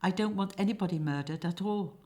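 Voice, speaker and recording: A woman's voice: on the low side, a little fast, a little mellow, pitched above the performer's own voice, close to an everyday voice. A woman voicing a nervous, lovesick young man in a comic stage play, hesitant and prone to stammering. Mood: anxious.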